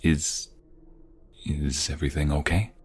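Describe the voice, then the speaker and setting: A man playing a protective, teasing ex-mobster devoted to his love in an intimate ASMR roleplay, soft and breathy. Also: a bass voice, steady tone, measured pace, heavily acted. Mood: worried, grateful. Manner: soft and intimate, breathy, often dropping to a whisper. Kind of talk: ASMR romantic roleplay acting.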